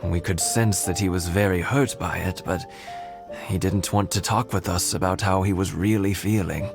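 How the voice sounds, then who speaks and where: Kindly old husband in a game, lowish voice, unhurried, chuckling, nostalgic, music under. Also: male voice, fairly even intonation, vocal fry. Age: older. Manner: warm, unhurried storytelling with soft chuckles.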